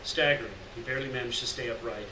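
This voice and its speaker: A middle-aged man giving a dramatic, deliberate live reading of a literary story from a lectern. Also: mid-range voice, fairly even intonation. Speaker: reader of short fiction. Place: indoors at a lectern in a gallery room. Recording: roomy, distant, noisy live room recording.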